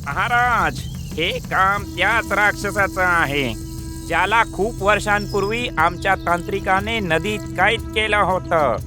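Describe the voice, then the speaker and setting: A man's voice: high-pitched, measured pace, music in the background. A man voicing an anxious animal subject of a lion king in a cartoon fable, deferential and earnest.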